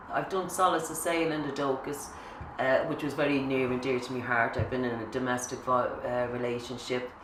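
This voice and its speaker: A woman's voice: sits low, fairly bright tone. A woman and mother, a peer trainee, speaking hesitantly with many restarts. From Ireland. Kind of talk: recorded testimony. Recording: echoey room, mic at a distance, noise.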